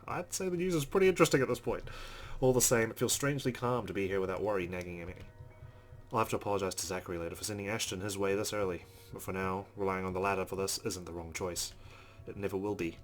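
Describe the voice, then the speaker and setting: A man's voice: on the low side, fast. A man playing a visual novel, reading its narration aloud and dropping in casual asides as a let's player. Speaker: let's play gamer.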